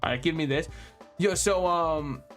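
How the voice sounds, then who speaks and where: A man's voice: on the high side, a little slow, a bit bright, faint music behind. A young man from the United States streaming a video game, rambling and joking at his own expense as he plays. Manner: joking, rambling, self-mocking. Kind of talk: casual gameplay commentary.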